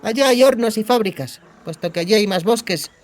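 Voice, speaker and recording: A man playing a cheeky, high-voiced young bandit woman. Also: close to an everyday voice. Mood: matter-of-fact, explaining. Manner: sardonic, colloquial, explaining briskly. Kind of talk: audiobook character dialogue.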